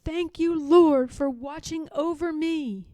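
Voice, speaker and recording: A woman's voice: high voice, slow, mellow, dry recording, studio quality, voice pushed up. A woman voicing a frightened, devout enslaved woman who prays in a pleading, fervent way, as in a storybook. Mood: grateful.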